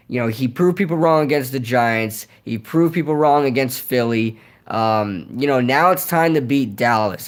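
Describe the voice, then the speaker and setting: A young man whose voice is nearly shot from yelling, rambling casually through a live Q&A with lots of fillers and repeated words. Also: mid-range voice. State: hoarse, voice nearly gone from yelling. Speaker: streamer hosting a live Q&A. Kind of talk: casual live-stream chat reading comments.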